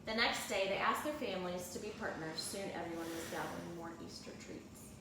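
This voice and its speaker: A woman's voice: fairly low pitch, a little fast. A woman, a teacher, reads a children's storybook aloud in a narrating style. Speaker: second grade teacher reading aloud. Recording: echoey room, distant mic, clear background noise.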